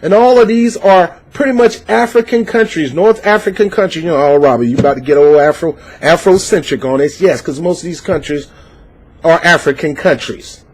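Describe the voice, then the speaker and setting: Man, fairly high voice, fired-up political commentary, reads an article and reacts. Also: normal speed, darkish tone. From United States. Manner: passionate, preachy, rising emphasis with many fillers.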